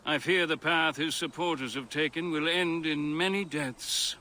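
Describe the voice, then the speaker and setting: An actor playing an earnest, educated Roman architect in a video game, speaking formally and with theatrical eloquence. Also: male voice, fairly high pitch, fairly even intonation, moderate pace, sharp tone, slight background noise. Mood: grave. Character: earnest, educated Roman male architect, past his youth, conscientious. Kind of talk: video game cutscene dialogue.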